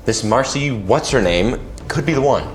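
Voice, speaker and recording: Man playing loyal werewolf beta, casual, teasing, persuasive, mid-pitched, faint music. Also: expressive, moderate pace, lightly acted. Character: loyal easygoing male werewolf beta, the alpha's best friend. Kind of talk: audio drama acting.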